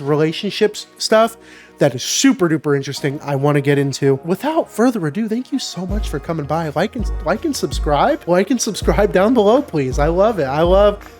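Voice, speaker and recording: A young man hosting a casual advice vlog, slightly nasal and congested from a sinus infection, talking to the viewer in an opinionated, emphatic way. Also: high-pitched. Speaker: advice show host.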